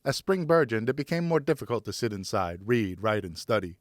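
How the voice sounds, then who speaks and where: A man narrating a scripted literary story as a professional audiobook reader, expressive and carefully phrased. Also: lowish voice, fairly quick, dry recording, clean studio sound.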